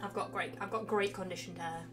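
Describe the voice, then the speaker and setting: Young woman chatting over loud music. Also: mid-range voice, steady tone, fairly quick. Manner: rambling, sweary, self-mocking. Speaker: game streamer. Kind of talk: casual chatty livestream. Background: game music with guitar.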